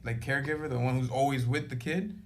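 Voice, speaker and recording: A man's voice: medium pitch, steady tone, fast talker. Earnest man in his twenties. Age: 20s. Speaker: guest. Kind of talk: casual chat.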